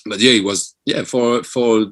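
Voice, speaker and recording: A man's voice: on the low side, steady tone, a little slow, a bit bright. A man from France with a French accent, a band member talking casually as an interview guest.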